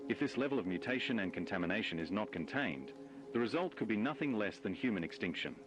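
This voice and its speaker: A man's voice: fairly low pitch, big pitch swings, quick pace. A man playing a grave news anchor, reading a scripted bulletin about a catastrophe in an analog horror video. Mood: grave, urgent. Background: music.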